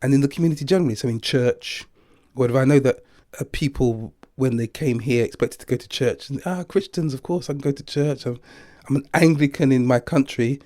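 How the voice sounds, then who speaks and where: A man's voice: mid-range voice, animated, fairly quick, mellow, no echo, studio quality. An older man giving a thoughtful, philosophical interview, chuckling now and then as he reflects.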